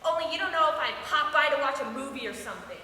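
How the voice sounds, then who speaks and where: A woman acting in an amateur stage scene as a sharp-tongued, guarded girlfriend with a sarcastic, defensive way of talking. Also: sits high, lively intonation, fast. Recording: distant, echoey room with noise.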